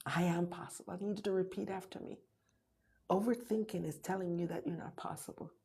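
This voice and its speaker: A middle-aged Jamaican woman speaks to her live audience with a low, warm, husky voice. She presses her point over and over, sometimes sinking into an intense whisper. Her delivery is an urgent motivational pep talk. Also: fairly even intonation, fairly quick. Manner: urgent, repetitive, drops to whispers for emphasis.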